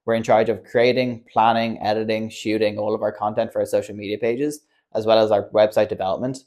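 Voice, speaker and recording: Fast-talking young man, low even voice. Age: 20s. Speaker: graduate student. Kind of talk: student testimonial.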